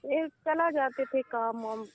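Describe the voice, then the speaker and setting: A woman's voice: sits high, takes it slowly. A woman talking casually on a private phone call, in colloquial, often short answers. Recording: noisy phone call recording.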